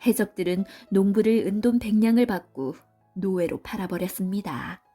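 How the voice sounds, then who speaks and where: A woman's voice: medium pitch, normal speed, mellow, faint music behind. A woman storyteller giving scripted storybook narration for children.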